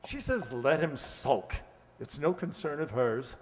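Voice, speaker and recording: A man's voice: fairly high pitch, sing-song, unhurried. Man playing a fussy, flustered lord in an echoey hall. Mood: anxious, confiding. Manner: hesitant, fussy, stammering. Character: flustered aristocratic father, an English lord. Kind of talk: radio play acting. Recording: echoey hall, long reverb.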